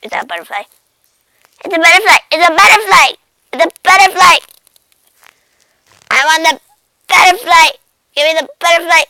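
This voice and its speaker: A woman's voice: sits high, lively intonation, a little slow, gravelly, pauses often, sharp tone, dry recording, exaggerated voice, poor audio. A woman voicing a childish, moody little house cat, whining and repeating itself as it narrates its thoughts in a comic pet voice-over. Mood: frantic, excited. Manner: whiny, repetitive, babyish self-narration with meows.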